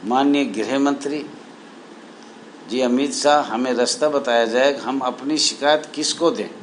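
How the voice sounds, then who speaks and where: A man speaking as an association spokesman, reading out a formal numbered appeal in a halting, deliberate, earnest way. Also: medium pitch, low-quality recording. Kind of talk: formal appeal, reading numbered points aloud with added commentary. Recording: noticeable background noise.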